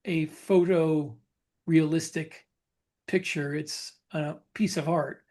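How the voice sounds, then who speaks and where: A man chatting casually as a podcast co-host, thinking aloud in halting phrases that he often repeats. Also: fairly high pitch, steady tone, darkish tone. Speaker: podcast co-host and fiction author.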